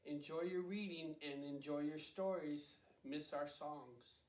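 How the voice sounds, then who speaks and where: A woman's voice: low voice, unhurried, darkish tone. A woman giving an informal greeting to children, recorded in a roomy, echoing space.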